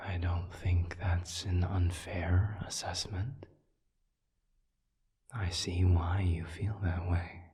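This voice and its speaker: A man playing a suave, patient demon in an audio roleplay, speaking in a breathy half-whisper with measured, formal, soothing phrasing. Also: low-pitched, mellow. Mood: calm, validating. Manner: measured, deliberate, formal phrasing with long pauses. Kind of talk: audio roleplay, intimate one-sided dialogue.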